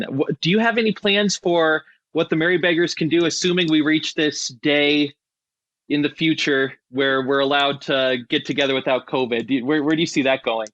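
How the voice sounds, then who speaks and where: A man's voice: fairly high pitch, a bit bright, no room sound, muffled recording. A man hosting a podcast in a casual, conversational interviewing style. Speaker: podcast host.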